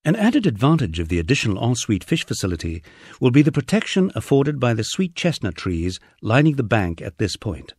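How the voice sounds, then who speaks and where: A man's voice: mid-range voice, very animated, brisk, darkish tone, breathy edge, dry recording, studio quality. A man narrating a scripted first-person memoir as an audiobook, in a wry storytelling manner.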